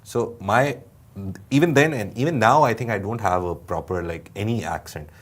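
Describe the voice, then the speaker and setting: A low-pitched man with a Pakistani accent, sounding like he is in his thirties. He talks in an earnest, reflective way, with plenty of fillers, while co-hosting a studio chat. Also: moderate pace. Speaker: co-host.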